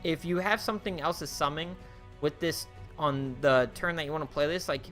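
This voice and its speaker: A man's voice: on the high side, normal speed, fairly bright tone, faint music behind. A young man casually reviewing cards for a card game, thinking aloud with many fillers and restarts, his voice getting worn. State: losing his voice.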